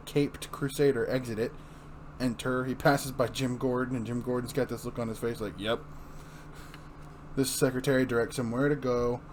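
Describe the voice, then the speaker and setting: A man with a medium-pitched voice hosts and reads. His narration is informal, like casual chat. The amateur recording carries faint noise. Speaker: host and reader.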